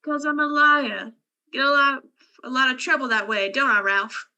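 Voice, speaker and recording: A woman's voice: high-pitched, normal speed, sharp tone, heavily acted. Piercing, brazen noir femme, acted by a woman. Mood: defiant. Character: brazen, teasing young female liar, fragile underneath. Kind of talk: old-time radio crime drama acting.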